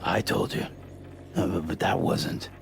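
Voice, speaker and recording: An actor playing a gruff, confused courier in a game, gravelly and halting, muttering his words. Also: low-pitched, fairly even intonation, a little hiss or hum, full character voice. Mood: confused, wary. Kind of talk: video game dialogue.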